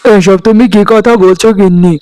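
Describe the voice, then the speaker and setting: A man's voice: sits high, fairly even intonation, normal speed, warm tone, clean tone, dry recording, lightly acted. A man voicing a meek, henpecked village husband in a cartoon, protesting but yielding. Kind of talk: cartoon voice acting.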